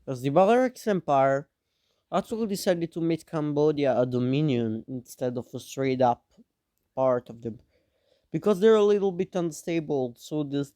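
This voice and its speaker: Young male narrator, a bit under the weather, casual and rambling over a map, unhurried, voice slightly higher than most. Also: dark tone, clear, resonant tone, no echo, clean studio sound. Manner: rambling, offhand, teasing asides. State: a bit sick. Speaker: mapping video narrator.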